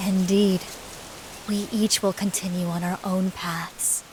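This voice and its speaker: A woman's voice: mid-range voice, level tone, slow, background noise, lightly acted. A woman voicing a gracious, reassuring traveler in a video game, formal and composed. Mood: gentle.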